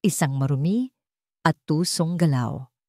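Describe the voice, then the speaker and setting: A woman's voice: low-pitched, lively intonation, a little slow, a little mellow, no room sound, studio quality. A woman storyteller delivering dramatic scripted narration.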